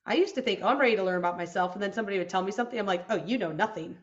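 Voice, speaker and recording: A woman in her forties co-hosting a podcast, talking in a reflective, animated way about self-awareness. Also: medium pitch, rapid-fire, highs cut off. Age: 40s. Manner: reflective, animated storytelling with laughs. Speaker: podcast co-host. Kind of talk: casual conversation. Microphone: video call.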